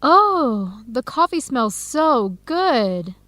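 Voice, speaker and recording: An actress with an American accent playing an eager, friendly researcher abroad in an educational radio drama, speaking with enthusiasm. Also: female voice, fairly high pitch, very animated, extremely slow, sharp tone, heavily acted. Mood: excited, pleased. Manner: enthusiastic, encouraging. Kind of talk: radio drama acting, clear educational dialogue.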